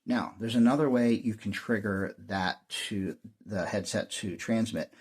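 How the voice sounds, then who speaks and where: A man with a husky voice giving an unscripted, matter-of-fact hands-on technical tutorial as the host. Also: lowish voice, measured pace. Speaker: host demonstrating radio repair.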